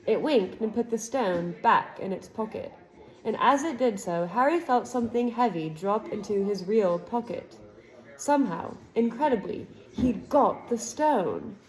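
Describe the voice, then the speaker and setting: A woman reading a chapter book aloud to a child, with expressive narration and relaxed chatty asides. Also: on the high side, unhurried, low-quality recording. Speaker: storybook reader.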